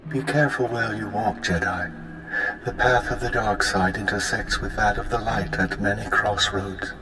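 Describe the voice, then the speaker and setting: Grave, lowish-voiced ancient master spirit played by a man. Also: steady tone, moderate pace, over music, lightly acted, low-quality recording. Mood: ominous, solemn. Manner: grave, cautionary, formal. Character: solemn ancient Jedi master spirit, male, once fallen. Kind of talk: video game voice acting.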